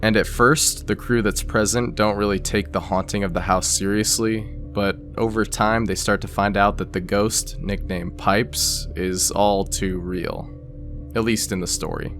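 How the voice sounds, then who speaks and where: A man's voice: on the low side, fairly even intonation, unhurried, a bit bright. A man narrating a scripted explainer in a conversational way, with casual asides. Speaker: video essay narrator. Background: background music.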